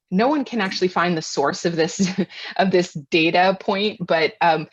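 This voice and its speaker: Low-voiced American woman, muffled roomy audio. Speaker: guest expert answering webinar questions. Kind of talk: extemporaneous webinar question and answer.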